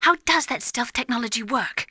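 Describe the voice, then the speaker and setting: A woman's voice: on the high side, moderate pace, sharp tone, slightly rough, dry recording, clean studio sound. A woman voicing a principled, compassionate adviser in a video game, with an earnest way of talking. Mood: inquisitive, alert. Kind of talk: dramatic video game radio dialogue.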